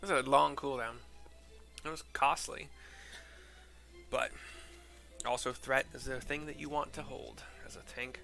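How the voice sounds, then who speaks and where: A man giving casual, rambling gameplay commentary and narration in an informal way, with a gravelly voice. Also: medium pitch, fairly even intonation, measured pace, long pauses, sharp tone. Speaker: game streamer reading quest dialogue aloud. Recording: game music behind voice.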